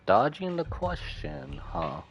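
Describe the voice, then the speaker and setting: A man's voice: mid-range voice, wildly swooping pitch, slow, muffled recording, faint music behind. A man doing casual livestream commentary on a game, musing aloud in short, informal remarks. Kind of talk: casual gaming stream commentary.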